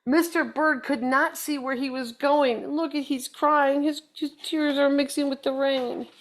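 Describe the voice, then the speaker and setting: A woman's voice: high-pitched, steady tone, measured pace. A woman reading a children's picture book aloud, playfully chatting to young listeners in little asides. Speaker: storybook reader.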